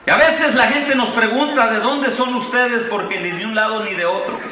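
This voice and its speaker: Mexican preacher in an echoing convention hall. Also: male voice, very high-pitched, normal speed, noisy background. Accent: Mexican Spanish. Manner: impassioned preaching with rising exclamations, then relaxed storytelling. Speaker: pastor. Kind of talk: sermon. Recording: echoey hall from far off.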